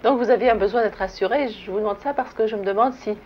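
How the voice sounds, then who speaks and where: A woman's voice: on the high side, quick pace. A woman interviewer asking questions in a polite, formal yet conversational style. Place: furnished sitting-room set indoors. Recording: muffled, noisy old archival broadcast.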